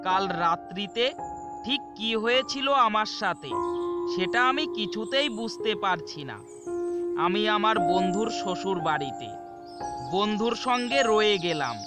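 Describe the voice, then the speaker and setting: Horror cartoon lead: man as shaken young male survivor, high voice, earnest dramatic storytelling, loud music underneath. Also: expressive. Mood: uneasy, recounting. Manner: earnest, dramatic, halting repetitions. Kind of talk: first-person horror cartoon narration and dialogue.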